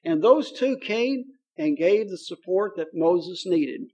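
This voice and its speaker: A man's voice: high voice, animated, takes it slowly, mellow. A man with a Kentucky accent preaching a sermon in a folksy, joking way, with many fillers. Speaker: pastor.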